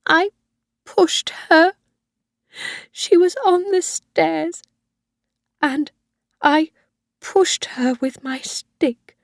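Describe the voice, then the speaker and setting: A woman voicing a frail, possessive old mother who talks in a high, pleading, clinging way. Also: extremely slow, vocal fry, long pauses, dry recording, clean studio sound, heavily acted. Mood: confessing, fervent.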